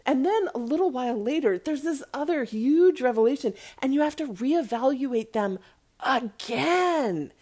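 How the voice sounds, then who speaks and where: A woman's voice: high-pitched, expressive, unhurried, mellow. A woman hosting a casual, opinionated review monologue, chatty and emphatic, often checking in with the listener. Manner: chatty, emphatic, rhetorical check-ins like "right?" and "okay?". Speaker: podcast host and movie reviewer.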